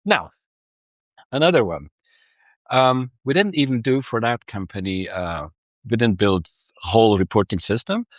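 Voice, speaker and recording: A man's voice: fairly low pitch, lively intonation, normal speed, pauses often, no echo. Hesitant man explaining data projects. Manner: hesitant, many restarts and fillers. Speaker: data consultant. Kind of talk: casual business conversation.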